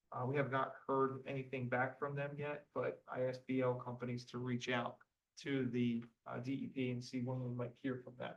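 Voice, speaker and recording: A man giving the manager's report at a township board meeting in an even voice, with many ums and uhs. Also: medium pitch, far from the mic, muffled recording. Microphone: table microphone.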